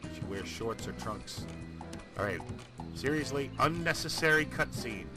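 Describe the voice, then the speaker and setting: A man's voice: mid-range voice, animated, normal speed. A man giving casual, chatty let's play commentary on a video game, grumbling playfully. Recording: loud game music behind.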